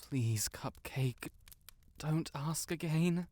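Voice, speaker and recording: A man's voice: fairly high pitch, extremely slow, a little mellow. A man playing a proud, lovestruck wizard in a romantic audio roleplay, haughty but stammering, blurting things out and backtracking. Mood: reluctant, flustered. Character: proud, flustered tsundere wizard secretly in love.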